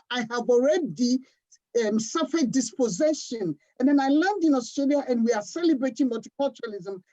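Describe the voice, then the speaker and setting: A woman from Africa speaks on a panel with a fairly high but mellow voice. She talks in an earnest, deliberate way and leans hard on key words. The sound is dull and lo-fi, like a livestream over a video call. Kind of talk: panel discussion talk.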